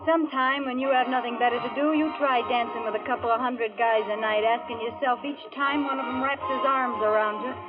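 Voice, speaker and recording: An actress playing a wisecracking young redheaded dance hall hostess in a 1940s radio noir drama, slangy and hard-boiled. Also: female voice, a little high, fairly even intonation, fairly quick, hardly any pauses, lightly acted. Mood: tense narrating. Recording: tinny old radio broadcast, music behind.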